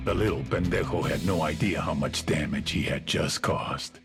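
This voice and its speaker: Deep gravelly cartel boss. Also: male voice, over music. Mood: cold. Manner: measured, contemptuous. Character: menacing, cold male drug cartel boss.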